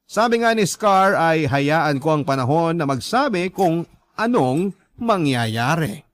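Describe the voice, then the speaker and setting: A man's voice: fairly high pitch, sing-song, unhurried, no room sound. A man with a Filipino accent reading a first-person story as the narrator of a radio drama.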